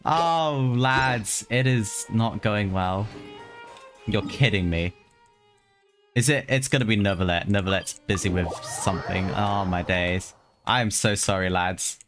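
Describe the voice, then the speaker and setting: A woman's voice: extremely low pitch, unhurried. A woman streaming gameplay with casual, rambling commentary and slangy, muttered exclamations. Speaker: game streamer. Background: game music.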